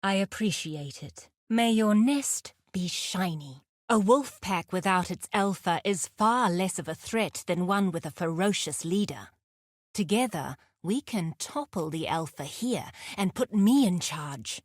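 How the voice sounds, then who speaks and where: A woman playing a fierce, guarded huntress in a video game, speaking in a deliberate, terse and solemn way. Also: fairly low pitch, expressive, no echo, studio quality. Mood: guarded, persuasive. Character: fierce, guarded huntress outlaw, wry nature lover. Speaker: video game character voice actor. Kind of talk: scripted game character lines.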